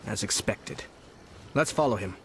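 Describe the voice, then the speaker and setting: A man voicing a calm, scheming, refined nobleman in a video game, measured and polite. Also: lowish voice, a little hiss or hum. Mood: smug calm.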